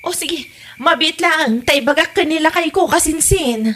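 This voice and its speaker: A woman playing a practical, bossy young wife in an audio comedy-drama, brisk and nagging. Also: high voice, a bit bright. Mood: concerned, brisk.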